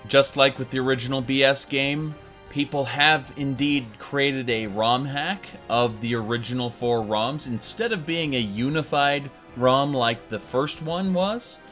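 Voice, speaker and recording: A man's voice: mid-range voice, unhurried, a bit bright, soft background music. A man giving a casual, enthusiastic review of a retro video game. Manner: enthusiastic, chatty.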